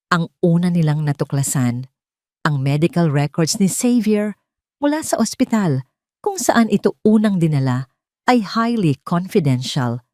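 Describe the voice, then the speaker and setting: A woman's voice: lowish voice, a little mellow, dry recording, clean studio sound. A woman with a Filipino accent reading a scripted, dramatic love story as narrator.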